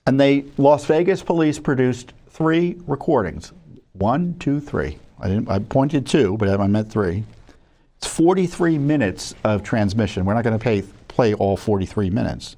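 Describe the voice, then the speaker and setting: American guy doing news commentary, conversational, kinda halting, mid-pitched voice, corrects himself a lot. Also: lively intonation, warm tone. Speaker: watchdog group spokesman presenting records. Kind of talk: news commentary to camera, part read out, part ad-libbed.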